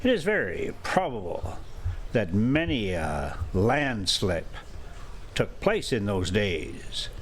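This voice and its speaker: A patient, pedantic professor read aloud by a man lectures from a storybook. He is slow and deliberate, with lively pitch. There is clear noise behind the voice. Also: full character voice. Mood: patient, lecturing. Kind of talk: amateur storybook reading.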